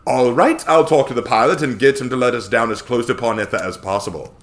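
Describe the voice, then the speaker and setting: A man's voice: medium pitch, fast talker, close to an everyday voice. A man voicing an oily, greedy mercenary, falsely genteel and overeager, in a storybook reading. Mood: smug.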